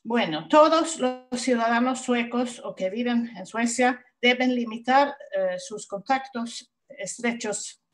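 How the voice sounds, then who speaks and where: A woman's voice: fairly high pitch, faint music behind. A Swedish woman, speaking Spanish as a second language, reads a prepared talk carefully as a panelist on a video call, with small hesitations. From Sweden. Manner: careful, deliberate reading with hesitations. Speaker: social worker, panelist.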